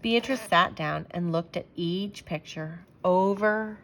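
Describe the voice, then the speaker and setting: Woman, slightly low pitch, expressive storyteller, children's read-aloud, emphatic phrasing. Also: slow, slight background noise.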